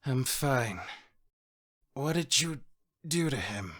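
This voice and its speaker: A man playing a gruff, battle-worn hedgehog fighter in an audio drama, terse in manner. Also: medium pitch, lively intonation, takes it slowly, a bit bright. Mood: wounded.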